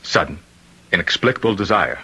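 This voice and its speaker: An actor playing a gravely ill, solemn and insistent man who believes a killer's spirit possesses him, in old-time radio drama style. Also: sits low, lively intonation, brisk, sharp tone, exaggerated voice, rough recording. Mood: commanding, insistent.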